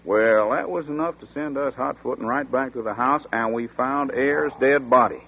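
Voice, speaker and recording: An actor playing a chatty small-town sheriff's deputy who talks in a folksy rural dialect, in 1940s radio acting. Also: male voice, mid-range voice, moderate pace, creaky. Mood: storytelling. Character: folksy drawling small-town male deputy, middle-aged. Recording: tinny scratchy 1940s radio broadcast.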